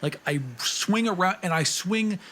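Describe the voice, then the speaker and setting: A man's voice: high voice, a little slow, pushed and bright. A man playing a tabletop game, casually narrating his character's actions in vivid first-person detail. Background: music behind the voice.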